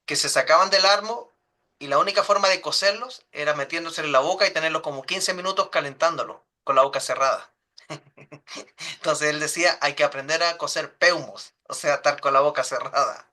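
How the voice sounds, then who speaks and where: A pastor leads a church class in a very bright, keen voice that sits a little high for a man. His manner is earnest and pastoral, and he speaks straight to the people in front of him.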